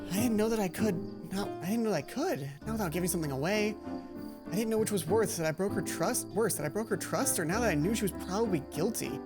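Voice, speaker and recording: A woman let's player reading a visual novel aloud expressively, now and then stumbling and repeating a line. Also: medium pitch. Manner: expressive, stumbles and rereads lines.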